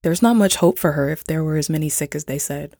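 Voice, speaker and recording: Audio drama role: sober female official, lowish pitch, plain practical delivery, close dry recording. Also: fast talker, creaky, mellow, dry recording. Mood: resigned, firm. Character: sober, pragmatic woman official of a survivor camp. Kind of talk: naturalistic audio drama acting.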